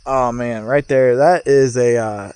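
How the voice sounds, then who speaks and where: A man speaking as a vlogger in a casual, off-the-cuff spiritual monologue, musing in broken phrases with pauses. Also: mid-range voice, fairly even intonation, darkish tone, clean tone, no room sound. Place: outdoors, sitting in a rocking chair. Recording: outdoor recording with chirping insects. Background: cicadas or crickets.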